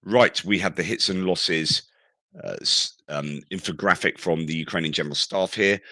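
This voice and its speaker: Hoarse, very deep-voiced male commentator. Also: moderate pace, pushed and bright, dry recording. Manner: rambling, with frequent self-corrections. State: hoarse from shouting at concerts. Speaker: war news commentator. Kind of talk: casual spoken analysis.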